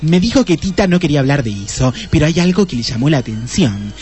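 A woman's voice: deep voice, big pitch swings, fast, darkish tone, faint music behind. An actor playing a chatty, cheeky female police assistant in a radio play, with an Argentine accent and a casual, teasing manner. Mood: gossipy, casual. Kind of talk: Spanish-language radio play acting.

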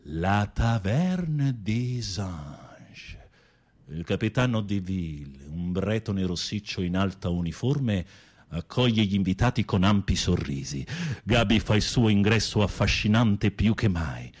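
A campy, low-voiced theatrical inmate. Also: male voice, animated, slow pace, a little mellow. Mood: dreamy storytelling. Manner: florid, campy, teasing storytelling. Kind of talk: radio play acting.

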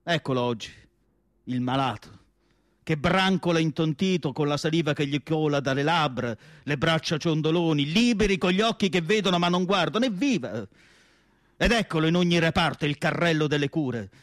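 An actor playing an anguished, indignant and nostalgic asylum psychiatrist in a declamatory radio theatre monologue. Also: male voice, a little high, moderate pace, dry recording, studio quality, close to an everyday voice. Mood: nostalgic, bitter. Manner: declamatory, rhetorical questions, emphatic lists. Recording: music playing behind the voice. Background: music.

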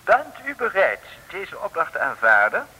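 An actor playing a pompous, secretive intelligence colonel with a Dutch accent, officious and halting, in an old comic radio play. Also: male voice, sits high, wildly swooping pitch, bright, forceful tone, husky, full character voice, poor audio. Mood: officious, urgent. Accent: Dutch from the Netherlands. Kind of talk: 1950s-60s comic radio drama acting. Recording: hissy vintage radio recording.

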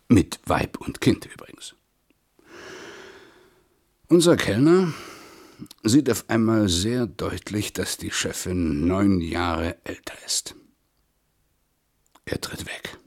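Husky, low-voiced blunt man. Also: exaggerated voice. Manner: clipped, halting, colloquial storytelling. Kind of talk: radio drama monologue.

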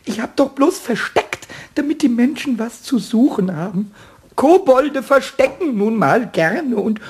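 A man voicing a small, childish male kobold in a children's radio play, squeaky, cheeky and whiny, stammering and pleading. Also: a little mellow, heavily acted. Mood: sulky, hurt. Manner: stammering protests, wailing, pleading.

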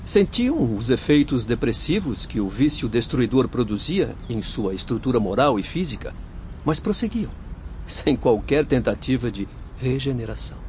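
A man's voice: medium pitch, sing-song, normal speed, darkish tone, natural voice. A man acting in a radio drama as an earnest spirit doctor with a Brazilian accent, moralizing in an emphatic, declamatory way. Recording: muffled lo-fi audio with faint noise.

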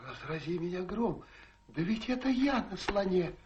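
An actor playing a gullible, gallant gentleman back from India in a radio play, blustering, agitated and chivalrous. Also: high-pitched, sing-song, normal speed, muffled recording, slight background noise. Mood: flustered, smitten. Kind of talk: theatrical radio play acting.

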